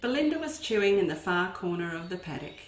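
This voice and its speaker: A woman's voice: lowish voice, big pitch swings, fast talker. A woman, a children's educator, reading a farm story aloud expressively and turning to her young listeners with asides. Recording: echoey room.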